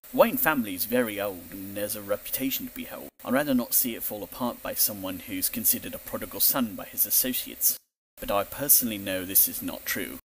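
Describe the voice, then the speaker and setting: An older, dutiful family butler, played by a man in an audio drama. His lowish voice is formal and measured, fatherly with a dry wit, over faint noise. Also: low-quality recording. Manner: formal, measured, gently wry.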